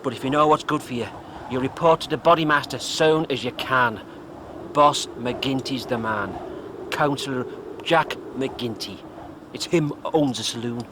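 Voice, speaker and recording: An actor playing a blunt, wary lodge member from a rough mining town in a period radio drama, with a folksy, plain-spoken manner. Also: male voice, mid-range voice, a little slow, background noise, close to an everyday voice. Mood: warning.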